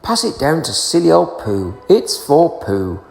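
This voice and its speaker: Storybook boy, voiced by a man: eager, kindly, pitched up high, echoing room. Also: very animated, unhurried, creaky, a little mellow, soft background music, full character voice. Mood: excited. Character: excited, kindly young boy.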